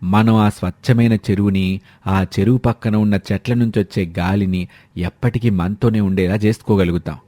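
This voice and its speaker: A man voicing a shrewd village father-in-law in a cartoon moral story, instructing the family in a measured manner. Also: lowish voice, fairly even intonation, dark tone, no echo, natural voice.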